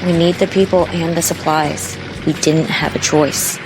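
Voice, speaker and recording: A woman's voice: low-pitched, fairly even intonation, normal speed. An actress playing a determined female leader of fleeing survivors in an audio drama. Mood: urgent. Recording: loud music and vehicle noise behind.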